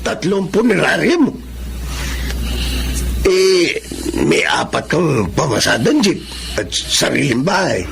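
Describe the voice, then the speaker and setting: Animated, gravelly, cheeky sidekick. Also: male voice, fairly high pitch, takes it slowly, long pauses, noisy background, heavily acted. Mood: curious, prodding. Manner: jokey, animated. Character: cheeky, teasing bachelor sidekick and funeral parlor employee. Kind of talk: Filipino radio drama acting.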